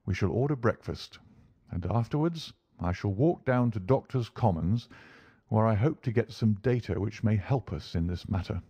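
Man doing a calm posh-mannered detective for an audiobook, polite, unbothered, a bit amused, dry sound. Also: fairly low pitch, normal speed, breathy edge, no room sound, muffled recording. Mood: brisk, amused. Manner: bland, imperturbable, courteous. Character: calm, urbane gentleman detective, dryly amused.

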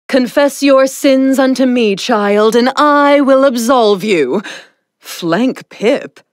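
High, haughty sun goddess. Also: female voice, no room sound, studio quality. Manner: pompous, condescending, theatrical. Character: haughty, vain young sun goddess, imperious yet playful. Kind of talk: video game character voice lines.

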